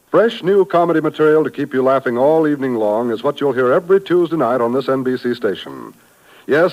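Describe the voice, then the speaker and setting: A man working as a 1950s network radio announcer, reading promos and credits in a brisk, polished delivery. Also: on the high side, poor audio. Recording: vintage broadcast with faint music.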